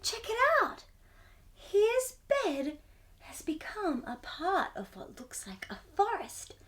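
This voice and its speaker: A woman hosting a storytime, reading a picture book aloud to young children in an expressive, wonder-filled way. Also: very high-pitched, slow pace. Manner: wide-eyed wonder, exclamations and gasps between passages.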